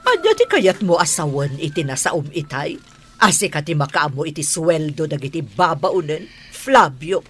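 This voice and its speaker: Woman acting as bossy wife, Ilocano accent, mid-pitched swooping voice, challenging, radio drama, light music behind. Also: very animated, moderate pace. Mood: indignant. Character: bossy, indignant wife and lady of the house.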